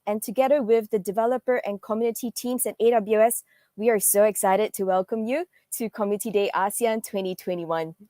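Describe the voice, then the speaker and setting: A woman's voice: medium pitch, steady tone, brisk, dark tone, no echo, clean studio sound. A woman hosting an online conference, delivering a welcome address to attendees. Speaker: event host, developer marketing lead.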